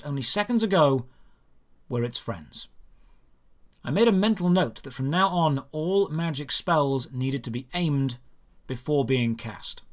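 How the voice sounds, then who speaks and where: Expressive male storyteller, lively pitch swings. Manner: dramatic, deliberate storytelling. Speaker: narrator of a fantasy audio drama. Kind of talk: scripted first-person story narration.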